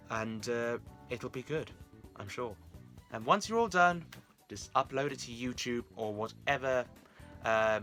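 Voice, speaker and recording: A man's voice: mid-range voice, creaky, a bit bright. A man talking casually to his viewers as the host of a vlog.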